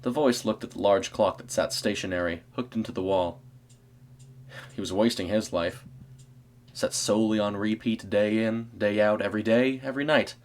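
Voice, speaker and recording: A man reading a scripted eerie story as narrator, in a measured, dramatic storytelling manner. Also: lowish voice, darkish tone.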